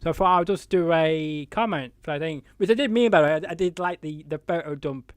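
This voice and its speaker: A man's voice: on the high side, fairly quick, no room sound, clean studio sound. A man hosting a live, unscripted interview show, chatting in a rambling, jokey way. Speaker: show host.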